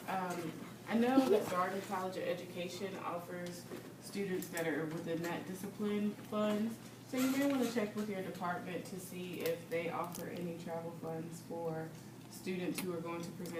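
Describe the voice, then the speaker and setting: A young woman from North Carolina leading a student group meeting, steady and informative. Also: lowish voice. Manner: steady, informative, with filler ums. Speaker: student organization president. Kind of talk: meeting announcements. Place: classroom. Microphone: far camera. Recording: echoey classroom, distant mic, background noise.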